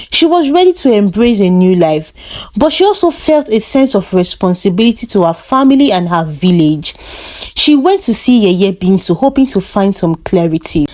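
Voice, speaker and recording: A woman tells a scripted folktale in a medium-pitched voice. Her storybook delivery is measured and expressive, with intonation that rises and falls widely. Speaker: storyteller, narrator.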